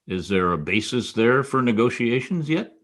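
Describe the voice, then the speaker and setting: A man's voice: mid-range voice, animated, moderate pace, a bit bright, close to an everyday voice. A man playing a wry, hard-boiled, dogged private detective in an old-time radio style drama. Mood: dry, wry.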